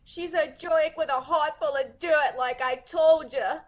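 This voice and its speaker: A woman's voice: high voice, a little slow. A woman playing a lovesick, brassy young woman who gushes in slangy talk, in an old-time radio mystery comedy. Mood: clingy, lovestruck.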